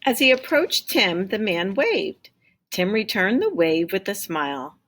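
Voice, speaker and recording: A woman's voice: mid-range voice, a little slow. A woman teacher reading a storybook aloud expressively, with explanatory asides for her pupils. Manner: expressive, acts out dialogue.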